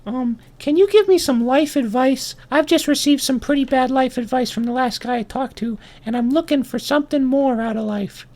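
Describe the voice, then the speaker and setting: A man voicing a tiny young runt ant, polite and naively earnest, with a thin squeaky voice in a comic story. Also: moderate pace, few pauses, a little mellow. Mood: hopeful.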